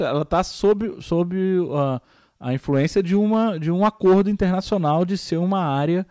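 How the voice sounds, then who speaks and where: A man's voice: a little high, warm tone, no echo, clean studio sound. A man with a Brazilian Portuguese accent, teaching a recorded geography lesson and explaining step by step, with many fillers and tag questions.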